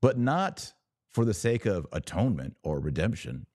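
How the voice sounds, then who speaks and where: Low, mellow-voiced man hosting religious commentary. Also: sing-song, moderate pace, no room sound, studio quality. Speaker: podcast host.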